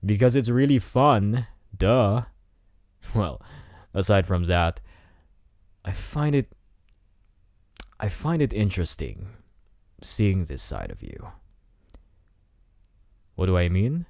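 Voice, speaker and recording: A man acts out a teenage bad boy in an intimate ASMR roleplay. His voice is low and swings widely in pitch as he taunts and teases with smug confidence. The sound is close and dry. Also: sing-song, a little mellow, no echo, exaggerated voice. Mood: teasing, softening. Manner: taunting, smug, drawn-out teasing delivery. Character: cocky teasing teenage delinquent bad boy student.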